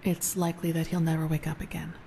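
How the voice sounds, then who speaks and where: An actress playing an earnest, thoughtful female novice of a holy order in fantasy game dialogue, measured and reflective. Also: deep voice, monotone, dark tone, a little hiss or hum. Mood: somber.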